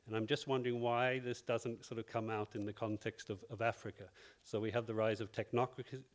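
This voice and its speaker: Measured, reflective man with a low voice. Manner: measured, reflective, wondering aloud. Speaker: researcher at a conference. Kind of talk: floor comment in a panel discussion.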